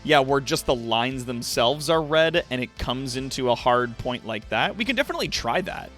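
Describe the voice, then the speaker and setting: A man livestreaming in casual chat with viewers, thinking aloud in loose, halting phrases. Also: mid-range voice, expressive, fairly bright tone, faint music behind.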